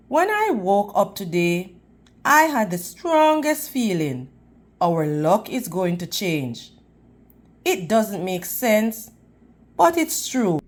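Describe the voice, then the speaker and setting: Woman voicing a hopeful, slow-spoken father. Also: mid-range voice. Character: hopeful, reassuring father of a young boy.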